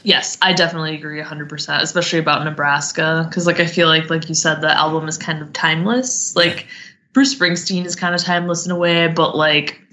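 A woman's voice: low-pitched, fairly even intonation, fairly quick, bright, forceful tone. A woman with a Midwestern accent co-hosting a podcast, chatting casually with plenty of "like" and easy laughter. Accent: American Midwestern. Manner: laughs easily, lots of "like". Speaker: podcast co-host. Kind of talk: casual chat.

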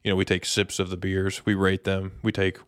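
A man co-hosting a podcast with casual, informal banter, thinking out loud as he talks. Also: low-pitched, monotone, fairly quick, no room sound, clean studio sound. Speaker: podcast co-host. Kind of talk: casual sports and trivia banter.

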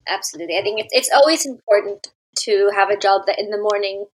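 A woman hosts a podcast, voice at a middling pitch. She reads a prepared introduction and then puts polite, measured questions to her guest. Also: slightly rough, rough recording. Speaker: podcast host. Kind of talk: scripted intro and interview questions.

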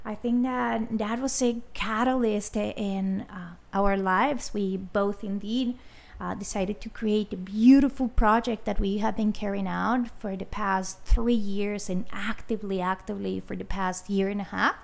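Colombian-accented woman with a gravelly voice. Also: medium pitch, steady tone. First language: Spanish. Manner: warm, halting, with fillers. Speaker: guest. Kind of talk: casual interview chat.

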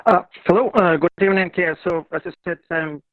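A man's voice: sits high, brisk, no echo, narrow, tinny audio. A man from Ukraine calling in to a radio talk show, speaking hesitantly with many fillers and restarts over an internet call line. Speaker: caller.

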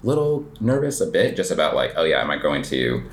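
A man with an American accent and a low-pitched voice talks as a guest in a casual interview. He explains things informally and loosely, wandering through his points with plenty of "like" along the way. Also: wildly swooping pitch, a little fast. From United States. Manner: rambling, informal, peppered with "like". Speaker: interview guest, coffee shop owner. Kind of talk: casual conversational interview answers.

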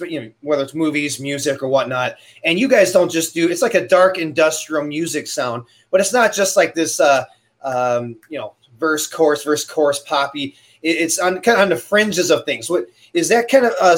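A man hosting an interview, asking questions with enthusiasm while halting often and stumbling over filler words. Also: on the high side. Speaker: podcast host.

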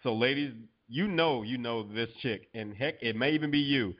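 A man's voice: medium pitch, moderate pace, muffled recording. A man co-hosting a casual podcast chat, blunt and jokey, laughing often as he talks. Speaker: podcast co-host, fitness coach.

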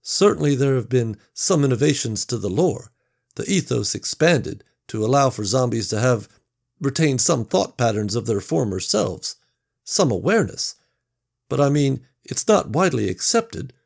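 A man's voice: medium pitch, expressive, moderate pace, vocal fry, voice pushed up. A man voicing a nervous, bullied young man who rambles hesitantly. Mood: uneasy.

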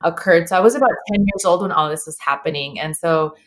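A woman in her thirties, from El Salvador and raised in Los Angeles, talks through her story as an interview guest. Her voice sits a little low, and she pauses, restarts and uses fillers as she thinks aloud. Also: fairly bright tone, slight background noise. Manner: reflective, earnest, with frequent fillers and restarts. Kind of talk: conversational interview.

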